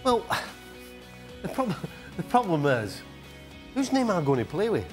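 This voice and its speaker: A Scottish man talks football on a studio panel with a slightly high, easy voice. He banters and changes his mind with good humour. Faint music sits under the talk. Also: very animated, measured pace. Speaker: football pundit.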